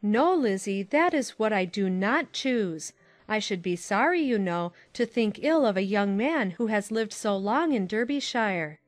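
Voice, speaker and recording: A woman voicing a sensible, concerned middle-aged aunt in a dramatized classic novel, gently admonishing in measured, formal phrases. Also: mid-range voice, expressive, soft background music, natural voice.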